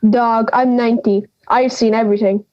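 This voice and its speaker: A woman's voice: fairly high pitch, fairly even intonation, moderate pace, highs cut off, natural voice. A young woman playing a feisty, blunt granny of ninety in an audio drama, scolding and sarcastic. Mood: scolding, brash. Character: feisty, blunt ninety-year-old granny living in the woods.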